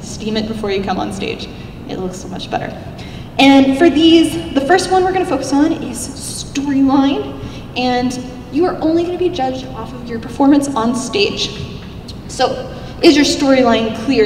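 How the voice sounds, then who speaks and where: A young woman with a squeaky little voice giving an upbeat, chatty convention panel talk, speaking into a handheld mic in a large hall. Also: a little hiss or hum. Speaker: cosplay contest judge giving a convention panel. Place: large convention hall, seated at a stage table. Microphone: handheld mic, filmed by a far camera. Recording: echoey hall, recorded from far off.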